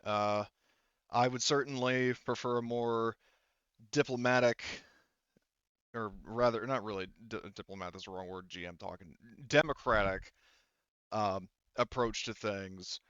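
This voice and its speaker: A man voicing a resolute, blunt female marine who leads rebels in a tabletop game, speaking in a halting, matter-of-fact way. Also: on the low side, a bit bright, clear, resonant tone, no echo, muffled recording. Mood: measured, frank.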